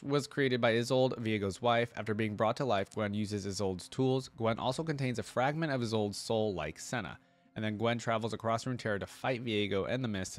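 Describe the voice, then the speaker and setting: A man hosting a reaction video, reading aloud and commenting informally as he thinks out loud for viewers. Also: fairly low pitch.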